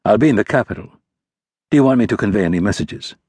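A man voicing a grey-haired male military attaché who speaks slowly, carefully and formally. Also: fairly low pitch, lively intonation, mellow, breathy edge, no echo. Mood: guarded.

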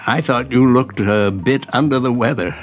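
A man's voice: mid-range voice, moderate pace, natural voice. An actor playing a genial, courtly old man in an audio drama, with a folksy, old-fashioned and wistful manner. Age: older. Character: genial, courtly, idle old gentleman regular. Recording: faint music behind.

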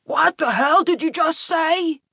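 A woman voicing a drunken, abusive father, shouting belligerently at his son in an audiobook story. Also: extremely high pitch, measured pace, vocal fry, dry recording, studio quality, voice pushed up, heavily acted. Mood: belligerent anger. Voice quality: shouting, forceful.

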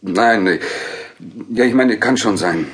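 A man's voice: on the low side, slow. An actor playing a nervous, haunted man in a horror audio drama, with a faltering, agitated delivery. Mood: nervous, evasive.

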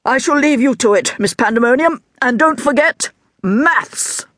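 A woman doing a gruff stern headmaster. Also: high voice, a little slow, fairly bright tone, no echo, studio quality, voice pushed up. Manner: clipped and stern. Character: stern gruff male headteacher.

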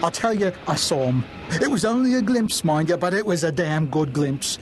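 A man's voice: on the high side, lively intonation, normal speed, noisy background, close to an everyday voice. An actor playing an agitated pilot in an audio drama, speaking insistently with stammers as he recounts what he witnessed. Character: agitated male pilot recounting a ghostly sighting. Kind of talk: dramatized radio play monologue.

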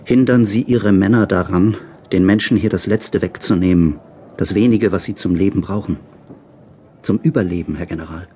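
A fearless gentleman played by a man speaks formally and firmly. His voice is lowish and mellow, with faint scene noise in this radio drama. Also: moderate pace, lightly acted. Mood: earnest, accusing. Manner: formal, firm, earnest. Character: tall, fearless, principled civilian confronting officers. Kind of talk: radio play acting.

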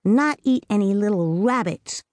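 A woman's voice: mid-range voice, lively intonation, a little slow, a little mellow, dry recording, pitched above the performer's own voice, natural voice. A woman reading a storybook, voicing an earnest, commanding little girl who lays down rules. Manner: earnest, commanding, a bit solemn. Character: bossy imaginative little girl Amy.

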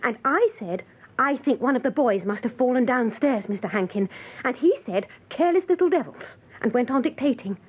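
An actress playing a chatty, excitable English office typist, gushing and breathless in a radio drama. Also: female voice, fairly high pitch, moderate pace, breathy edge. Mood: excited, shocked. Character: chatty, excitable female office typist who loves gossip.

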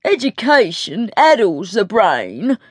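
A woman's voice: on the high side, huge pitch swings, takes it slowly, breathy edge, no echo, studio quality, voice pushed up, full character voice. A woman voicing a male footman who is affected, mincing and contemptuous, in storybook narration. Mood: sneering, affected.

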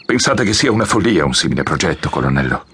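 An actor playing an earnest, persuasive army general with a deferential, urgent manner in an audio drama. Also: male voice, rumbling low, big pitch swings, fast, bright, forceful tone, husky, full character voice. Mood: grave, conspiratorial. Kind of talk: Italian audio drama acting.

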